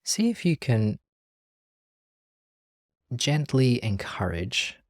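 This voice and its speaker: A meditation teacher leading a guided practice in a gentle, calm, measured way with long pauses. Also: medium pitch, dry recording, studio quality.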